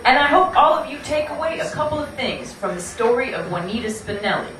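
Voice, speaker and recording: A woman's voice: high-pitched, brisk. A woman narrating and hosting a live puppet show, telling the story theatrically in a folksy, playful way straight to the audience. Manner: folksy, playful, addressing listeners directly. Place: live show in a room, away from the mic. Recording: echoey room from far off, noisy.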